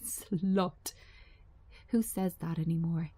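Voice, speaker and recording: A woman's voice: lowish voice, steady tone, slow, dark tone, breathy edge, no room sound. A woman playing a defiant but shaken female yoga teacher with a firm, indignant manner in a screenplay reading. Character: defiant, trembling young woman yoga teacher standing her ground.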